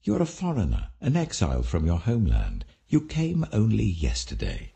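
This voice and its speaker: Grave exiled old king played by a male reader, British, very low and mellow, deliberate, commanding, scripture audiobook. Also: huge pitch swings, gravelly, husky, exaggerated voice. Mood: troubled, questioning.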